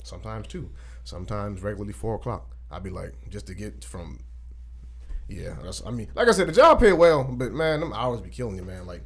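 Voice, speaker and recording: Male podcast co-host, late twenties. Rapid, lively pitch, slangy and teasing group banter. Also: slightly rough. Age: late 20s.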